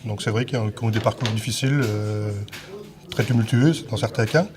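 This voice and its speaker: A soft-spoken man answering hesitantly in an interview. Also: lowish voice, fairly even intonation, a little fast.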